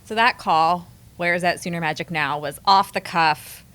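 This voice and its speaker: A woman's voice: lowish voice, normal speed, a bit bright. A woman co-hosting a podcast and asking casual interview questions.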